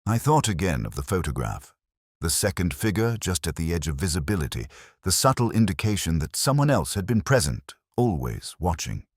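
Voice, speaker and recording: A middle-aged man playing a brooding, reflective detective who narrates his case in a measured, literary, introspective way for an audio drama. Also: low-pitched, sing-song, breathy edge, dry recording, studio quality, exaggerated voice. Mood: hushed, contemplative.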